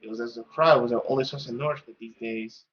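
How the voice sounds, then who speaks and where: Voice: medium pitch, steady tone, quick pace, muffled recording. A playful, theatrical narrator reading a story aloud, stumbling now and then and dropping in joking asides. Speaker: let's play narrator reading a visual novel.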